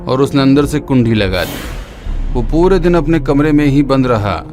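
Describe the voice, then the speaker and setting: A man tells a horror story in a steady, dramatic voice of middle pitch, sinking lower for the grim parts. Loud eerie music plays under his narration throughout. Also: normal speed.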